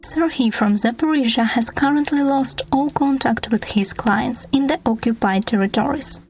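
A woman reading a scripted news report in a measured, formal tone. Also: fairly high pitch, darkish tone, soft background music, rough recording. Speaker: news narrator.

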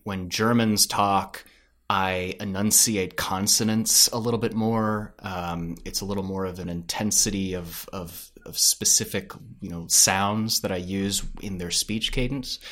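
Male podcast guest, low voice, reflective interview talk, hesitant explaining. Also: fairly even intonation, measured pace, a bit bright.

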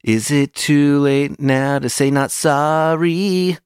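A man's voice: on the high side, fairly even intonation, slow pace, a little mellow, smooth, no room sound. A man co-hosting a podcast, trading dry jokes in casual banter. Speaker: podcast co-host.

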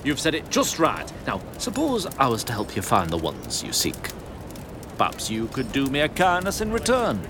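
An actor playing a genial but scheming small-town mayor in an audio drama, folksy, pompous and placating. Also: male voice, mid-range voice, wildly swooping pitch, moderate pace, background noise. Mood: scheming, jovial. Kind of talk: audio fiction drama acting.

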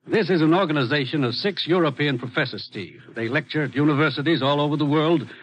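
Firm, businesslike actor as agency boss. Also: male voice, fairly high pitch, quick pace, lo-fi sound, lightly acted. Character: brisk, authoritative spy agency commissioner, male boss. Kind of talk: 1950s radio drama acting. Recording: vintage radio recording, slight noise.

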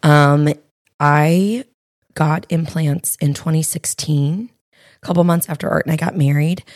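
A woman in her thirties with a raspy voice, chatting candidly as a podcast co-host and health coach, with plenty of fillers. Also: low-pitched, fairly even intonation, a little slow, darkish tone, dry recording, clean studio sound. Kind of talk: casual podcast chat.

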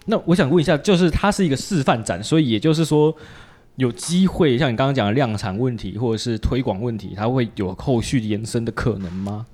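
A man's voice: mid-range voice, animated, fairly quick, darkish tone. A man hosts a casual conversational interview in a mid-range voice with a Taiwanese Mandarin accent. He is informal and opinionated, with a wry way of putting things. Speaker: podcast host.

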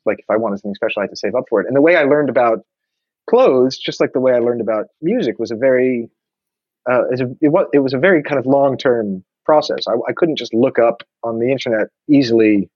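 A man's voice: medium pitch, quick pace, husky, no room sound, muffled recording. A man, talking thoughtfully in a casual conversation with many restarts. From New York. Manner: reflective, many restarts and fillers.

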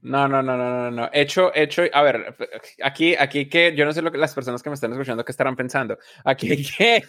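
A man's voice: on the high side, fairly bright tone. A man, a reflective interview guest who often restarts his phrases. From Colombia. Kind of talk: casual interview talk.

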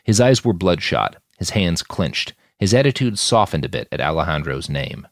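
A man's voice: deep voice, sing-song, normal speed, creaky, dry recording, studio quality. A man reading scripted fiction as an audiobook-style podcast narrator.

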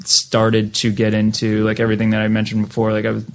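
A man's voice: on the low side, monotone, fast. A man in his thirties with an American accent, chatting casually as an interview guest in a rambling, slangy way about his past. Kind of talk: casual reminiscing chat.

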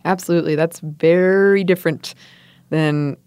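A woman co-hosts a food podcast with a low, unhurried voice. She talks in a relaxed, chatty way, as if to a longtime friend. The sound is dry and close to the mic. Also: clean tone, dry recording. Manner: relaxed, chatty, reads listener mail aloud. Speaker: podcast co-host. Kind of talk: casual chat.

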